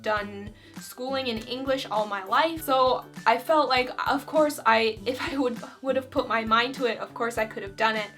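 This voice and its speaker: A young woman from Finland chatting to her viewers in a bright, slightly high voice. She talks loosely and freely, with plenty of "you know" and "like" fillers. Soft music plays faintly under her. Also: normal speed, few pauses. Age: young adult. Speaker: vlogger. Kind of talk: casual chat to camera.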